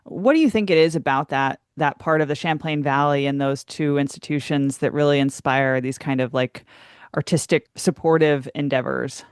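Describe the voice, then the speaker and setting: A woman's voice: low voice, moderate pace, smooth, dry recording, clean studio sound. A woman working as a radio interviewer, asking questions in a conversational way.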